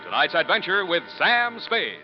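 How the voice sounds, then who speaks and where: A man's voice: high-pitched, wildly swooping pitch, moderate pace. A man working as a radio announcer, reading an advertisement and programme link in 1940s broadcast style. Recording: dull 1940s radio recording with music.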